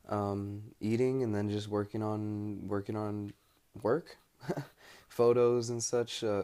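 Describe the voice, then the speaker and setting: Low, even-voiced young American man. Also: smooth. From United States. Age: young adult. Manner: hesitant, pausing often mid-thought. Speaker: study-abroad student keeping an audio log. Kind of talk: casual, rambling spoken diary.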